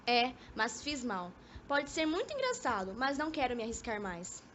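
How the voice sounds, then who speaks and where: A woman's voice: fairly high pitch, big pitch swings, normal speed, a bit bright. A young woman acting a haughty, bored, reluctant former lover in an amateur radio drama, with a Brazilian accent and petulant delivery. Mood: mocking. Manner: petulant, disdainful. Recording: dull, noisy amateur recording.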